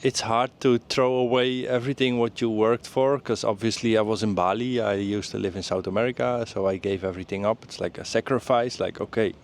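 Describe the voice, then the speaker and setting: Dutch-born man, low clipped speech. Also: steady tone, fairly quick, few pauses, slight background noise. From Netherlands. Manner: plain, clipped phrases. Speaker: interviewee, e-commerce.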